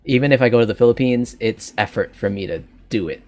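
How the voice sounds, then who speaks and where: A man's voice: medium pitch, quick pace, a little mellow. A man with a Canadian accent hosting a podcast, chatting casually and rambling with frequent restarts. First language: English. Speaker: podcast host. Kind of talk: casual chat.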